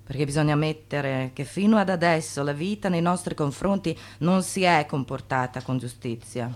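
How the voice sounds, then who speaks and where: An actress playing a knowing, ironic narrator, declaiming with deliberate emphasis in a theatrical radio drama. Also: female voice, sits low, lightly acted.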